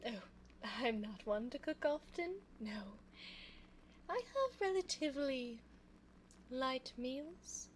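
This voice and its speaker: A woman playing an elegant, cunning vampire in an ASMR audio roleplay, speaking formally and coaxingly in a soft voice close to the microphone. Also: high-pitched, expressive, a little hiss or hum. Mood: courteous, flattering. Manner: formal, genteel, slow, coaxing. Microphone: close binaural microphone.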